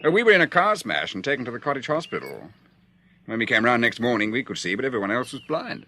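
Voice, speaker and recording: An actor with an English accent playing a confident, genial stockbroker in a radio drama, assured and affable. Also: male voice, medium pitch, very animated, quick pace, bright, forceful tone, heavily acted.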